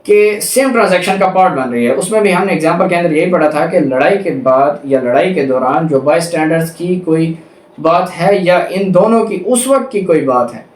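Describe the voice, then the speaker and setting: A man's voice: a little high, lively intonation, normal speed. A man with a Pakistani accent giving an explanatory law lecture, didactic, restating points and mixing in English legal terms. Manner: didactic, repeats and rephrases points; switches between Urdu and English legal terms. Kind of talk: explanatory lecture with examples, reading out legal text. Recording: echoey, roomy sound.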